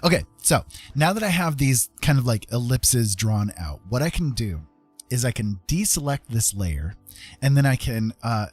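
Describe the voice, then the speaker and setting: A man's voice: mid-range voice, big pitch swings, moderate pace, breathy edge, no echo. A man hosting a casual art livestream, playful and self-deprecating, chatting to viewers and muttering to himself as he works. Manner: muttering to himself while working. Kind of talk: casual livestream chat while demonstrating digital art. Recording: soft background music under the voice. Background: soft music.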